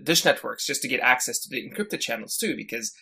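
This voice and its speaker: A man's voice: mid-range voice, lively intonation, a little fast, vocal fry, bright, forceful tone, husky. A man from Syria, a guest on a podcast, telling stories casually with lots of fillers and restarts. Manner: chatty, many fillers and restarts. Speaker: podcast guest, head of engineering. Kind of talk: casual interview storytelling.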